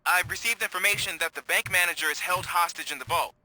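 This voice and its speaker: Bright, eager police comms guy. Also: sits high, quick pace, no echo, low-quality recording. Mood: helpful, brisk. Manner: earnest, flustered, chatty. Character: eager, nervous young police tech on comms. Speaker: police dispatcher. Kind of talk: video game voice acting.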